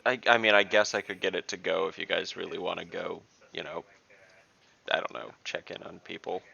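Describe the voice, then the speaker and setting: A man's voice: deep voice, fairly even intonation, fairly quick, creaky, sharp tone, muffled recording. A man playing a tabletop game online, chatting casually as he speaks for his character. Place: online voice call.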